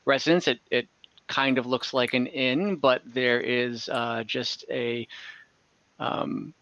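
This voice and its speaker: A man with a bright, keen-edged voice narrates as game master in a tabletop session. He speaks casually and haltingly, building the scene as he goes. The online chat audio is a little dull. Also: medium pitch, steady tone, no room sound. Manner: halting, casual, searching for words. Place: online group voice call.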